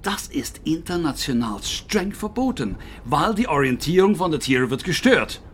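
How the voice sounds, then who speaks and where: An actor playing an earnest, formal official with a foreign accent and halting phrasing in a radio drama. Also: male voice, on the high side, very animated, fairly bright tone, slight background noise. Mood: stern, earnest. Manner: halting, formal, non-native word order. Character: earnest, hesitant foreign official investigating illegal whaling.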